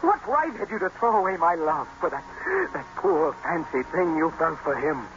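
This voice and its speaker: Anguished, obsessive Gothic lover. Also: male voice, fairly high pitch, lively intonation, normal speed, a bit bright, slight background noise. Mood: bitter, reproachful. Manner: intense, impassioned, melodramatic. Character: anguished, vengeful, obsessive young male lover, Gothic hero. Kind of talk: 1950s radio drama acting. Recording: muffled vintage radio broadcast, music behind.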